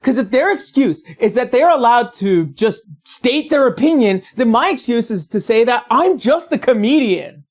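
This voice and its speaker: A woman's voice: on the high side, expressive, normal speed, few pauses, a little mellow. A woman delivering scripted, opinionated commentary as a video essayist, in a sarcastic, blunt and profane manner.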